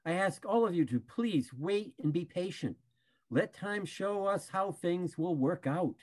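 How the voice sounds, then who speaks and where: Older man acting an animated, imploring panda bear. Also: high voice, a little slow. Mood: pleading. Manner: earnest, imploring. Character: pleading, patient panda bear, peacemaker. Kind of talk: dramatic play reading. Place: video call.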